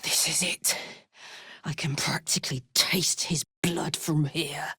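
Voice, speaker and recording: A woman playing a big, horned hellspawn warrior in a video game, brash and casual, warm-hearted, playful and hot-tempered. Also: low-pitched, fairly even intonation, slow, husky, heavily acted. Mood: hushed, intent. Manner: brash, casual, emotionally open. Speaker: video game character voice actor. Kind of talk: expressive video game voice acting.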